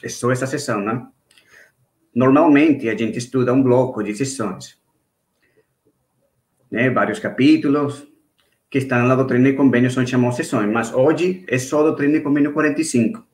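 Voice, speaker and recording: A man from Venezuela with a Venezuelan Spanish accent, a native Spanish speaker, teaching an online church class in a halting way, with restarts and checks for agreement. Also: medium pitch, darkish tone, roomy sound. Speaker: Sunday school teacher. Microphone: video call.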